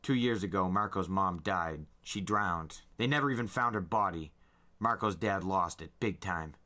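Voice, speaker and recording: A man's voice: low voice, normal speed, bright, forceful tone. A man reading a first-person novel aloud as a dramatic storyteller. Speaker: storyteller, podcast host. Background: faint music.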